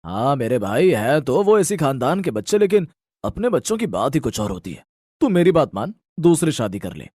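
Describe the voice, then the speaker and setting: A man's voice: medium pitch, sing-song, moderate pace, darkish tone, breathy edge, no room sound, studio quality. A man voicing an insistent, persuasive elder brother in a dramatised moral story. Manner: persuasive, pressing. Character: insistent elder brother urging his sibling.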